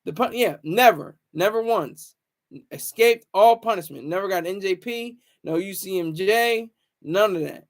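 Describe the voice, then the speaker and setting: High-pitched man chatting casually on a livestream. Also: unhurried. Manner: rambling, repeats phrases for emphasis. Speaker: livestream host. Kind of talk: casual livestream chat with viewers.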